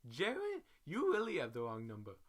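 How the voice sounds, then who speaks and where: A man's voice: a little high, huge pitch swings, normal speed, voice pushed up. A man voicing a sassy, blunt, exasperated young woman in a dramatic story reading. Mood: dismissive.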